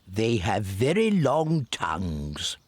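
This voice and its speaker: An actor voicing a kindly old grandpa pig who talks gently and patiently and chuckles often. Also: male voice, fairly low pitch, huge pitch swings, takes it slowly, no room sound, full character voice. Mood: gentle. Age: older. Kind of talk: cartoon voice acting.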